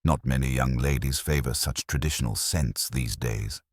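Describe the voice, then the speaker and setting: A man's voice: very deep voice, moderate pace, breathy edge, no echo, clean studio sound, pitched below the performer's own voice. A man voicing an observant, long-serving draper who speaks formally and with knowing authority. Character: long-serving, observant male draper with old-fashioned views.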